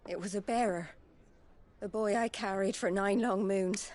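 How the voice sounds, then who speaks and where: A woman acts out a callous young-sounding village mother from a fantasy game. Her voice is average in pitch, with a blunt, resentful delivery. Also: fairly even intonation, moderate pace, a little mellow, natural voice. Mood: bitter, disgusted. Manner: blunt, scornful. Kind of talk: dramatic video game acting.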